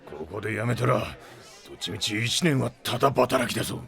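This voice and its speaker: A male actor playing a gruff, blustery middle-aged starship captain in a Japanese audio drama, with rough, brusque, slangy speech. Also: medium pitch, brisk, a bit bright, faint music behind. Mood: irritated, suspicious. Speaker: ship captain.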